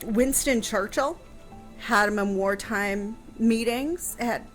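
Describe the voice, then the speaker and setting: A woman's voice: on the high side, slow, faint music behind, low-quality recording. A woman chatting casually on a radio show, answering a history question with lots of fillers and restarts.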